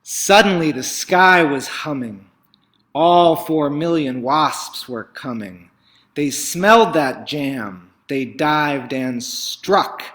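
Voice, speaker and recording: Bright-voiced man reading a children's story expressively. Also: a little high, animated, slow. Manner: theatrical, emphatic delivery with dramatic swells and hushes. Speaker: picture book read-aloud host.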